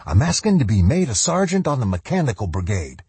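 A man's voice: mid-range voice, big pitch swings, fast, a little mellow, slightly rough, no echo, pitched above the performer's own voice. A man voicing a cocky, wheedling soldier and mechanic with an insolent manner and a streak of menace, in an audiobook. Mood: eager, boastful. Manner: insolent, wheedling, drawling tag questions. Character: cocky noseless wasteland soldier, eager male mechanic with menace.

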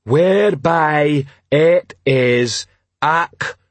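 Huge slow, dim-witted troll sergeant. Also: male voice, fairly high pitch, dry recording, voice pushed up. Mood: halting, bluffing. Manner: slow, laboured, ungrammatical speech. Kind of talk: audiobook character voice.

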